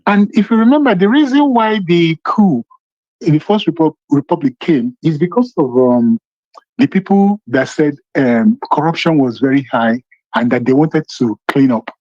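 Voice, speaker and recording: A man's voice: a little high, expressive, normal speed, a little mellow, dry recording. A man with a Nigerian Yoruba accent giving emphatic political commentary as a phone-in caller, repeating points and answering his own questions. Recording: muffled, band-limited phone call.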